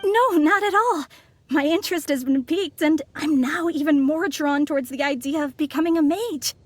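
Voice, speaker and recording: An earnest young female scholar from a fantasy game, performed by an actress. She has a distinctly high voice and speaks with polite, composed, articulate phrasing. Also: moderate pace, dry recording, soft background music, close to an everyday voice. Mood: curious, composed. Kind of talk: scripted video game voice acting.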